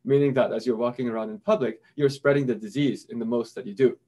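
A man in his thirties giving an animated online keynote lecture over a video call, explaining step by step. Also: mid-range voice, fast. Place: indoors in front of a projected slide screen.

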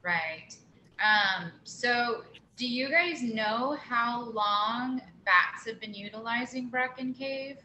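Female moderator on a call, slow and bright, asking the guest questions, echoey room. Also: a little high, a little hiss or hum. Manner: slow, inquisitive, brief acknowledgments. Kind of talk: interviewing a guest speaker.

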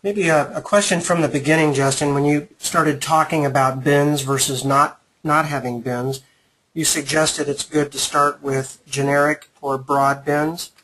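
A man's voice: fairly high pitch, fairly even intonation, measured pace, fairly bright tone, slight background noise, rough recording. A man moderating a webinar, relaying audience questions to the presenter.

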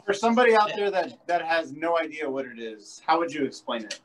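High-voiced chummy male co-host. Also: fairly quick, a bit bright, slight background noise, low-quality recording. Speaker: podcast co-host. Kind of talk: casual chat. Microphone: webcam on a video call.

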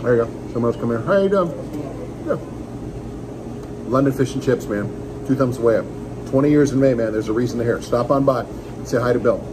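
Brief: man in his forties, upbeat radio-host energy, eating-and-talking vlog in a restaurant, steady room hum behind. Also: medium pitch, brisk, long pauses. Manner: enthusiastic, joking, talks while eating. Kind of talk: casual restaurant review vlog. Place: small restaurant dining room, indoors. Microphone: phone camera on table.

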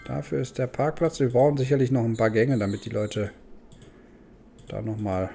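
A man's voice: mid-range voice, flat delivery, normal speed, vocal fry, mellow, slight background noise. A man giving casual let's play commentary, thinking aloud and muttering questions to himself. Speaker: gaming host.

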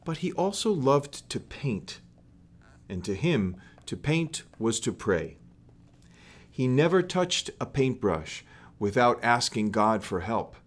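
A middle-aged man reads a children's story aloud in a measured, deliberate way, recorded indoors in a small room. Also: mid-range voice, sing-song.

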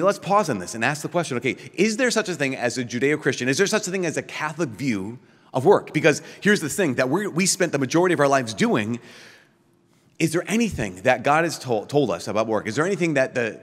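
A middle-aged man, a priest, giving an animated keynote at a podium, full of rhetorical questions and quick restarts. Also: mid-range voice, in a large hall. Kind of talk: lively conference keynote. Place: large conference hall, at a podium. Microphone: podium microphone.

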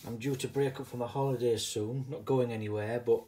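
A man's voice: medium pitch, fairly even intonation, fairly quick, dark tone. A middle-aged man with a northeast English, Teesside accent, talking casually and rambling a little as he hosts an unscripted hobby vlog. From northeast England (Middlesbrough). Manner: rambling, digressive. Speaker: video host, hobbyist coin stacker.

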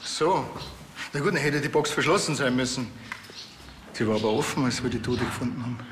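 A man's voice: mid-range voice, a little fast, background noise, natural voice. An actor playing a dry, understated policeman with a Bavarian accent in a TV crime comedy. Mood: matter-of-fact.

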